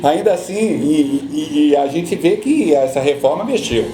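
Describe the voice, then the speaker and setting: Brazilian man, middle-aged, mellow voice, roomy sound, faint music behind. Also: fairly high pitch, measured pace. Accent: Brazilian Portuguese. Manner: patient, repeats points, calms listener. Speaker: social security law guest. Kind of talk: explaining pension rules in podcast chat.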